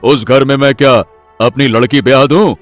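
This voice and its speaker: A man voicing a stern, scornful middle-aged rich village father in a cartoon story, scolding gruffly. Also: medium pitch, steady tone, faint music behind, natural voice. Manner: gruffly scolding, contemptuous, deliberate. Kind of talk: animated story voice acting.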